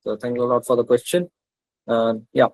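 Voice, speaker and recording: A man's voice: mid-range voice, a little fast, a little mellow, dry recording. Man reassuring an audience on a call. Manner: reassuring, answers audience questions. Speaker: co-host moderating questions. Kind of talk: casual moderation.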